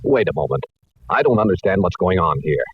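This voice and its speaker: A man playing a gruff ranch boss in a 1940s radio drama. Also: low-pitched, very animated, a little fast, no room sound, heavily acted. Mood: baffled. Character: gruff, puzzled male dude ranch boss. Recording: tinny, band-limited old radio recording.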